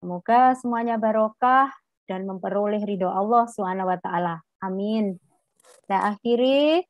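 A middle-aged woman, a doctor, giving a formal opening address over a video call, measured and pausing often. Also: medium pitch, no echo. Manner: measured, many filler "eh" pauses. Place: indoor room. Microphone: video call webcam. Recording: dull, dry video call audio.

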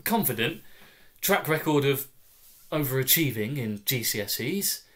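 A man in his twenties performing a comic first-person story in a wry, deadpan way with clipped phrasing. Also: medium pitch, a little slow. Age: 20s. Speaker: story reader. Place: small room at home, indoors.

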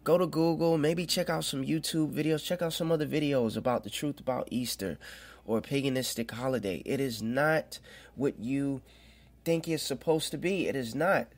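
A man's voice: medium pitch, normal speed. Rambling, preachy man on a podcast. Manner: rambling, conversational, preachy, with small laughs. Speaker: podcast host. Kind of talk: casual spoken religious commentary.

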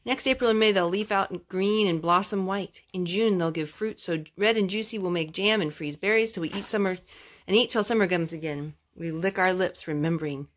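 A young woman reading a children's picture book aloud at home, phrase by phrase in a measured, careful way. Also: lowish voice. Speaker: storytime host reading to her children. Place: carpeted room at home. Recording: clear background noise and clicks, homemade.

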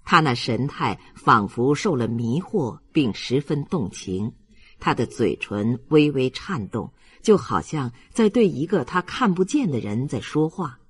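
Expressive, unhurried male audiobook narrator. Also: mid-range voice, slightly rough, dry recording. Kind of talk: expressive scripted literary narration.

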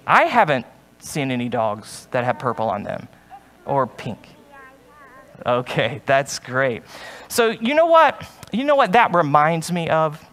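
Gentle man pastor talking to children. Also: on the high side. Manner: gentle, halting, with many restarts. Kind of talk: children's object lesson. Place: church sanctuary stage, seated among children.

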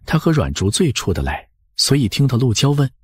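A man reads scripted audiobook narration in a husky voice of medium pitch. His intonation moves widely, and the sound is dry and close. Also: big pitch swings, brisk, no room sound.

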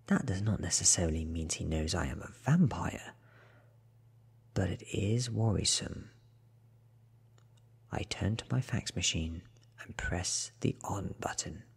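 A man in his thirties reading a book softly for ASMR, hushed and deliberate, sometimes dropping toward a whisper. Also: deep voice. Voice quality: soft, breathy, husky. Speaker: audiobook reader.

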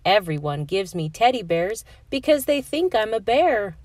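A woman's voice: mid-range voice, big pitch swings, moderate pace, darkish tone, dry recording. A woman voicing a friendly, proud young animal pupil in a storybook, explaining things in an earnest, childlike way.

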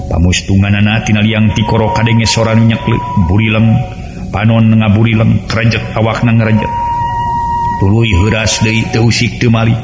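A man with a West Javanese accent narrating a serial folk tale in a dramatic storytelling style. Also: fairly low pitch. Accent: Sundanese-language region of West Java, Indonesia. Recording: roomy echo with music underneath.

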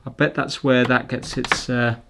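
A man's voice: mid-range voice, flat delivery, moderate pace, gravelly, background noise. A middle-aged man giving casual, informal commentary, thinking aloud as he works on a repair. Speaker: repair video host. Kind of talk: casual hands-on commentary while working.

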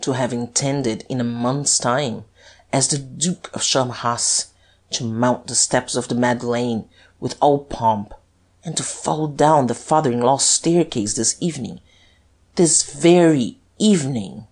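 Woman playing a taunting, vengeful male police detective, husky. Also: extremely low pitch, unhurried, exaggerated voice. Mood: savage triumph. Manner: mocking, taunting, excitable. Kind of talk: dramatized audiobook reading.

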